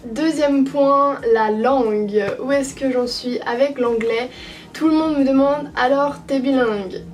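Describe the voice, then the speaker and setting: A woman's voice: high voice, measured pace, distant mic, faint music behind. A young woman from France talking through her experience as an au pair in a casual, reflective way, with frequent hesitations and restarts. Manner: hesitant, many fillers and restarts. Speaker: au pair vlogger.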